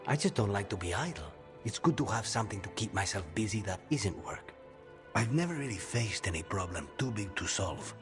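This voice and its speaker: A man playing a modest, brooding but soft-hearted assassin in a video game, speaking in an earnest, understated way. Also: low voice. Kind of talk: video game cutscene acting. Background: music.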